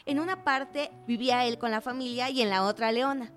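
A woman's voice: fairly high pitch, fairly quick, close to an everyday voice. A woman acting in a radio drama as a chatty storyteller who explains family history in a conversational way. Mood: explanatory.

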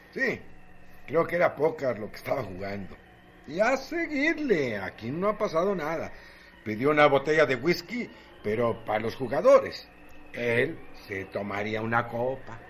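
An actor playing a colloquial eyewitness telling a story with animation in a radio drama. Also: male voice, mid-range voice, a bit bright, a little hiss or hum. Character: colloquial witness recounting a gambling-den shooting.

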